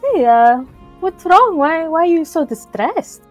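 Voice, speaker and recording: Woman playing a high-voiced, awkwardly kind sneak. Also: sing-song, unhurried, soft background music, exaggerated voice. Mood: concerned. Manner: gentle, hesitant, awkward.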